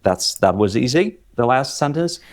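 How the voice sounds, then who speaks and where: A man's voice: medium pitch, expressive, moderate pace. A man hosting a casual chat about languages, thinking aloud and hesitating with fillers. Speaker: host.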